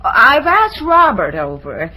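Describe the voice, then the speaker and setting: An actress playing a vain, coquettish young daddy's darling in an old radio comedy-drama. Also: female voice, on the high side, huge pitch swings, slow, bright, forceful tone, husky, heavily acted, rough recording. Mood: airy, coaxing.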